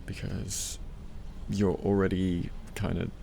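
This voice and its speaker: Soft asmr guy playing a sweet teasing boyfriend, deep creaky voice, soothing, bit of library noise behind him. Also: level tone, takes it slowly, exaggerated voice. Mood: playful, soothing. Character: tender, teasing male partner helping a loved one study. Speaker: ASMR voice actor. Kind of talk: soft-spoken ASMR roleplay. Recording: faint background library ambience.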